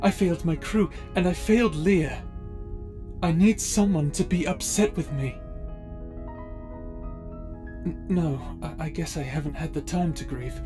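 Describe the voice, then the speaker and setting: Guy voicing a brooding space pirate officer, talks formal and measured, sounds weighed down by guilt, music playing behind. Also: fairly high pitch, lightly acted. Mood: bitter, grieving. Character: guilt-ridden, stern male space pirate crew officer. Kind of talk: audio roleplay drama acting. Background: background music.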